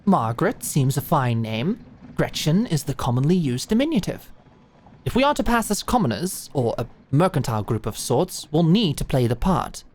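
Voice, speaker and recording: A woman's voice: low-pitched, big pitch swings, normal speed, darkish tone, a little hiss or hum. A woman voicing a pompous, prickly aristocratic doctor in a medieval audio drama, with formal, precise speech. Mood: smug, brisk. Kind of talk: medieval fantasy audio roleplay acting.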